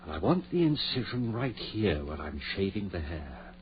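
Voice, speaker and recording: An actor playing a driven, grieving surgeon-scientist in 1940s radio style, intense, insistent and commanding. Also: male voice, low voice, very animated, normal speed. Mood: urgent, commanding. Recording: muffled, noisy 1940s radio recording with hum.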